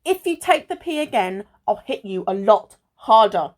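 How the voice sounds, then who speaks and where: A woman's voice: medium pitch, very animated, moderate pace, lightly acted. A woman acting a wary, bossy, teasing young adventurer in an amateur radio play. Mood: nervous, annoyed.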